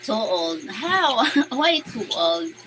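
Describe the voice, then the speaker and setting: A woman's voice: on the high side, big pitch swings, extremely slow, background noise. A Filipino woman in her forties, chatting casually on a live stream, reading out comments, thanking viewers by name and laughing often. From Philippines. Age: 40s. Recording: music playing under voice. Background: music playing.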